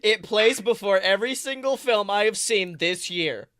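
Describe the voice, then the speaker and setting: Male podcast host, bright sound in a dry recording, chatty, jokey, theatrical delivery. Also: high-pitched, normal speed, no room sound. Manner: excitable, jokey, theatrical emphasis. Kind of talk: casual chatty pop-culture banter.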